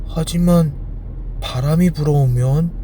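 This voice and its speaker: A timid young storybook prince, acted by a grown man, with a voice lifted in pitch. He is earnest and polite, hesitant and easily flustered, over clearly audible noise. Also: measured pace, warm tone, natural voice.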